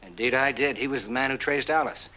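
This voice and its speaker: An actor in a 1940s film noir playing a self-assured war-veteran husband who explains things in a measured, polite, controlled way. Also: male voice, medium pitch, sharp tone, lightly acted, rough recording. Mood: coolly confident. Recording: old 1940s film soundtrack.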